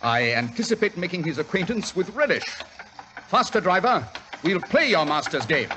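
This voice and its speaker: An actor playing a cool, superior English gentleman detective with a British accent and crisp, precise manner in a 1940s radio drama. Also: high-pitched, very animated, normal speed. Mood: eager, decisive. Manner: crisp, precise, lecturing, unruffled. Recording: old radio recording with faint hiss.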